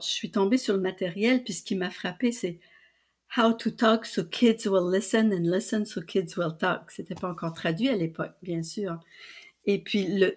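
An older woman with a Canadian French accent from New Brunswick, telling her story as a guest, with frequent fillers and restarts. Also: mid-range voice. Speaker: guest, publisher and translator. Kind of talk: casual storytelling interview.

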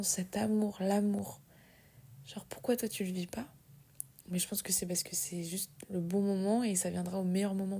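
A young woman in her twenties talks in a husky, gravelly voice, chatty and confiding, with plenty of fillers and restarts. Her pitch stays flat and her tone sounds dark and mellow. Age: 20s. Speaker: podcast host. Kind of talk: casual personal monologue.

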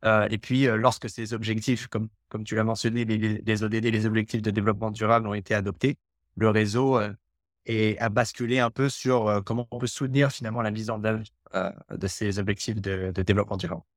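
A man's voice: lowish voice, little pitch movement, fast, no echo, clean studio sound. A man from Quebec, a podcast guest who speaks with many hesitations and restarts. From Canada (Quebec). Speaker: guest, development researcher. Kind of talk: podcast interview.

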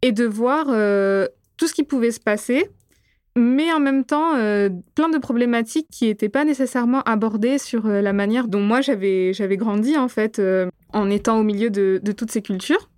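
Interview guest: young woman from Réunion, Gujarati as her first language, clear voice, measured and halting. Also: a little high, a little mellow, no echo, studio quality. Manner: thoughtful, frequent hesitations. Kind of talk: interview answers.

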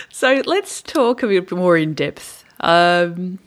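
A woman hosting a podcast, asking guests questions in a casual, playful way. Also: on the low side. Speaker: podcast host. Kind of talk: casual interview.

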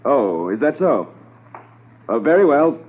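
An actor playing an authoritative police inspector, measured and commanding, in 1950s radio drama style. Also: male voice, mid-range voice, sing-song, breathy edge. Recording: noisy, narrow-band 1950s radio transcription.

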